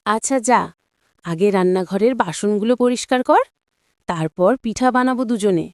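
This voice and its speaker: A woman's voice: medium pitch, normal speed, darkish tone, no room sound, clean studio sound, close to an everyday voice. A woman voicing a fussy but fond old village grandmother in a children's cartoon, chatty and chiding in colloquial speech. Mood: fussy, expectant. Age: older. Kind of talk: Bengali children's cartoon voice acting.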